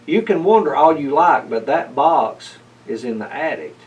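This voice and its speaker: A man's voice: fairly high pitch, lively intonation, moderate pace, lo-fi sound, lightly acted. Man reading as a stubborn sassy storybook cat, off-mic. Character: stubborn, sassy little talking male cat. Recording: distant mic, slight background noise.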